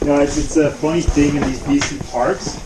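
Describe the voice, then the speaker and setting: A man's voice: on the high side, steady tone, normal speed. A man with a slight Dutch accent guiding a cave tour in an upbeat, joking way. He is recorded on a visitor's action camera a few steps away inside a rock cave. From Netherlands. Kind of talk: guided cave tour chat. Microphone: action camera worn by a visitor, a few steps off. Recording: echoey cave from far off, loud noise.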